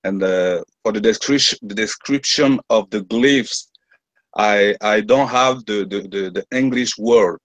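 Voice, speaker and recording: A man's voice: lowish voice, unhurried, a bit bright, no room sound. A man with a French accent, whose first language is French, hesitantly describing hieroglyphs in an online class, searching for words with many restarts. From France. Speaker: class member.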